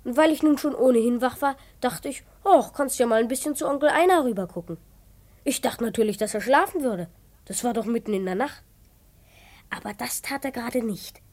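A woman's voice: on the high side, brisk, a little mellow, lightly acted. A woman playing an eager, self-important twelve-year-old boy detective in a children's radio play, earnest and easily indignant. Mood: secretive narrating. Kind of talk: children's radio play acting and first-person narration.

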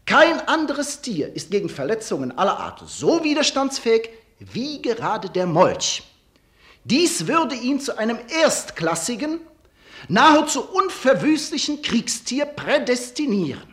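A pompous scientist giving a radio drama lecture. The delivery is clinical and precise, yet the pitch leaps about in a very animated way. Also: unhurried. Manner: clinical, precise, academic, with hesitant ers. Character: pompous German scientist lecturing on cold-blooded experiments.

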